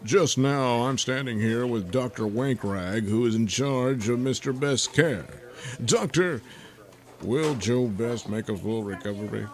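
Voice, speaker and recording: A man's voice: mid-range voice, measured pace, close to an everyday voice. A man playing an earnest, formal local news anchor and reporter in a parody newscast.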